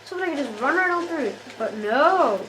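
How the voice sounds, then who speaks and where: A woman's voice: sits high, animated, moderate pace, a bit bright, slight background noise, poor audio. A woman giving casual, jokey commentary while playing a shooter game, often exasperated and talking to the characters on screen. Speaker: gamer.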